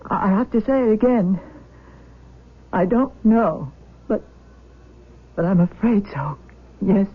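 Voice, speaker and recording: A woman's voice: medium pitch, lively intonation, takes it slowly, breathy edge. An actress playing an anxious, guilt-ridden middle-aged mother in a radio drama, hesitant and fretful in delivery. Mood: guilty, distressed. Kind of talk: 1970s radio drama acting. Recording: thin phone-like old radio recording.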